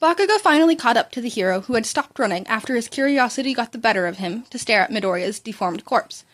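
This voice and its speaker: A woman's voice: a little high, lively intonation, fast talker, fairly bright tone. A woman reading fan fiction aloud in a casual, informal way, sometimes stumbling over her words. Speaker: amateur podfic narrator.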